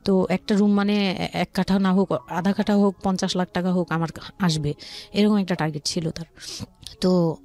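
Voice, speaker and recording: A woman with a Dhaka Bangladeshi accent telling her life story as a guest, haltingly, often rephrasing as she explains. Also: on the low side, fairly even intonation, a little mellow. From Old Dhaka, Bangladesh. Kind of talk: personal storytelling in conversation. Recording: music playing behind the voice.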